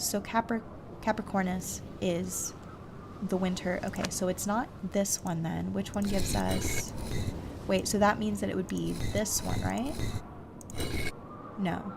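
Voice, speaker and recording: A woman doing casual let's-play commentary, thinking aloud in a halting, self-questioning way. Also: medium pitch, gravelly, background noise. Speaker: host playing a video game.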